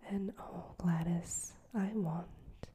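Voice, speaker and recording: Woman whispering as an earnest lovestruck young man, soft, slow, breathy whisper, storybook. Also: lowish voice, steady tone, exaggerated voice. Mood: pleading. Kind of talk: whispered audiobook reading.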